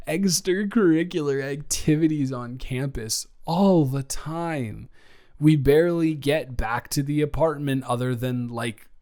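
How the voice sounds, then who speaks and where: A young man playing a nervous, loving male college student in an improvised intimate audio roleplay, speaking in halting, rambling runs with stammers and restarts. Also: medium pitch, a little mellow, natural voice.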